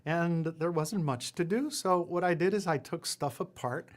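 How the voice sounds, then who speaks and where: Fairly high-voiced male interview guest. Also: moderate pace. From Puerto Rico. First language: Spanish. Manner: rambling storyteller with frequent fillers and self-deprecating asides. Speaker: guest, lawyer and entrepreneur. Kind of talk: casual interview chat.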